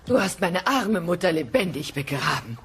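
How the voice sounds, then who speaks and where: A woman's voice: on the low side, big pitch swings, normal speed, a bit bright, a little hiss or hum. A woman playing an exasperated, no-nonsense dinosaur wife and mother in a German-dubbed comedy audio play. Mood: appalled. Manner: stern, indignant.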